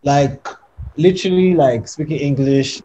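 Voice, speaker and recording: A man's voice: fairly high pitch, a little slow, low-quality recording. Young man arguing animatedly on a call. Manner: animated, laughing, says "do you know what I'm saying". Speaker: podcast co-host. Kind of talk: casual debate chat. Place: home room, indoors. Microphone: headphones on a video call.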